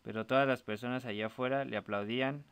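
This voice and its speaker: A man's voice: medium pitch, fairly even intonation, fast, fairly bright tone, clean tone. A man narrating a scripted short story as a storyteller.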